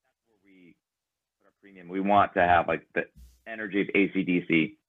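Deep-voiced man on a tinny line. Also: fairly even intonation, brisk. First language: Ukrainian. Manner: thoughtful, rambling with fillers. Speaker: band member guest. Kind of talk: casual interview conversation.

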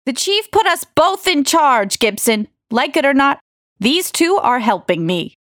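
A woman's voice: high-pitched, big pitch swings, a little slow, fairly bright tone, no echo, studio quality, full character voice. A woman voicing a firm, no-nonsense police officer who speaks curtly in an audio drama. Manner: firm, curt.

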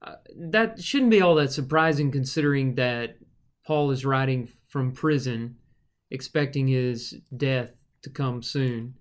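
A man's voice: mid-range voice, a little slow. A man preacher co-hosting a casual Bible study podcast, thinking aloud with fillers and restarts.

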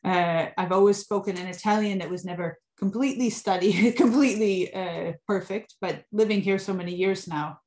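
A woman's voice: mid-range voice, moderate pace, few pauses, darkish tone. A woman from New York being interviewed, explaining at length with many restarts. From New York, USA. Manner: fluent but full of fillers and restarts, explanatory. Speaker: guest. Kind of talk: interview storytelling.

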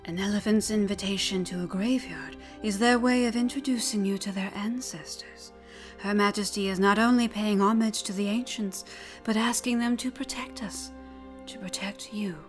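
A woman's voice: medium pitch, normal speed, faint music behind, natural voice. A solemn ostrich priestess, as a woman storyteller acts her out. She speaks with grave, archaic formality and the authority of one used to command.

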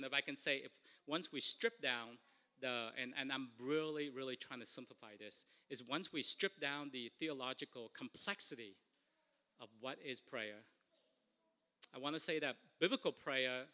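A man's voice: mid-range voice, sharp tone. A man with a Vietnamese accent preaching a sermon as pastor, earnest, often repeating and restarting his words. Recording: long reverb of a large hall.

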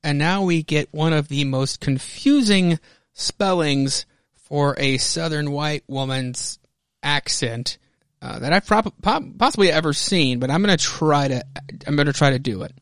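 A man's voice: a little high, measured pace, fairly bright tone, no room sound, clean studio sound. An American man hosting a podcast, mixing theatrical comic-book narration with casual commentary. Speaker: podcast host.

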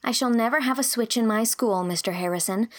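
A woman's voice: fairly high pitch, a little fast, pitched above the performer's own voice, lightly acted. A woman voicing an idealistic young schoolteacher who speaks earnestly and indignantly.

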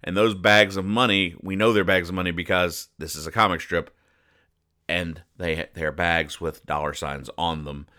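A man's voice: sits low, fairly even intonation, moderate pace, pushed and bright. A man hosting a podcast, explaining comic strips in a conversational, mock-serious, pedantic way with frequent digressions. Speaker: podcast host.

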